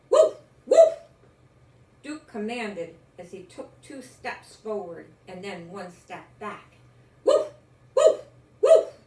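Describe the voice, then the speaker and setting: An older woman reading a children's story aloud in a gentle, expressive, encouraging way. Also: mid-range voice. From United States. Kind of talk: read-aloud children's story with chatty asides. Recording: roomy echo, distant mic, faint noise.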